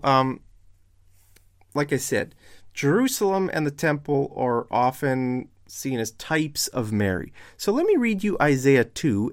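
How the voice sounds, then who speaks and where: A man with a hoarse, failing voice giving casual online Bible commentary, posing pointed questions to his audience. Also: mid-range voice. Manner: slow and deliberate. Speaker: religious video commentator.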